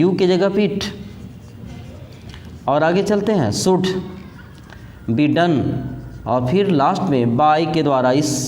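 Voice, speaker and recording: A man's voice: fairly high pitch, dark tone. A man with an Indian accent teaching a grammar lesson in an instructional way, repeating key points and mixing in English terms. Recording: echoey room with background noise.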